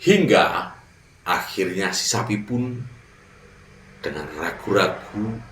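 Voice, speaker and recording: Animated male storyteller, unhurried. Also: sits low. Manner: dramatic pauses, addresses young listeners. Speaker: children's storyteller. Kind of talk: animated fable narration for children. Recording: roomy echo, distant mic.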